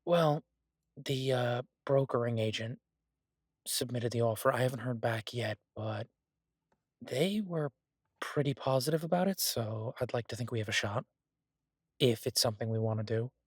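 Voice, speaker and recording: Man playing a husky, devoted easygoing husband. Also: mid-range voice, moderate pace, long pauses, no room sound, clean studio sound, natural voice. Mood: hopeful, tender. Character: devoted, easygoing husband and cook, gently teasing. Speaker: voice actor in an audio roleplay. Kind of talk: intimate one-sided audio roleplay, conversational.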